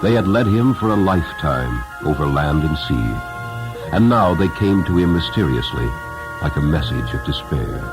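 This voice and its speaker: A man narrating a story in a solemn, deliberate and expressive dramatic style. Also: a bass voice, over music, rough recording. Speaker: narrator, audiobook reader.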